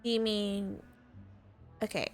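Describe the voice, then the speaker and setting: A woman's voice: mid-range voice, slow. A woman streamer giving casual, reactive gameplay commentary in short murmured asides. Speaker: gaming streamer. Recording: game music behind voice.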